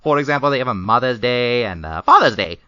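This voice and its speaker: A woman voicing a vain, excitable, theatrical male skeleton in a comic dub, with grand declamatory delivery. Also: very deep voice, fast talker, dry recording, lo-fi sound. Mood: eager. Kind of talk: animated comic dub acting.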